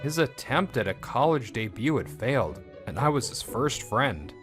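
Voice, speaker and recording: A man's voice: medium pitch, expressive, normal speed, music in the background, close to an everyday voice. A man playing a bitter, wounded young lead who narrates in scripted first person for a comic dub. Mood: reflective.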